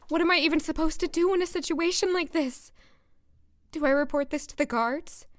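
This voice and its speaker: Woman playing an anxious high-voiced palace maid, close and dry. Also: no echo, close to an everyday voice. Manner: earnest, nervous, deferential. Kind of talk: first-person audio drama narration and dialogue.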